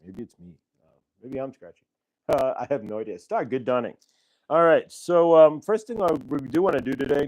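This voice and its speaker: Casting: middle-aged male host of a live stream, mid-pitched, loose and rambling, grateful tone, many "uh"s. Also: darkish tone, no room sound, rough recording. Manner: rambling, thankful, many fillers and restarts. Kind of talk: casual live-stream chat. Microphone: clip-on transmitter mic.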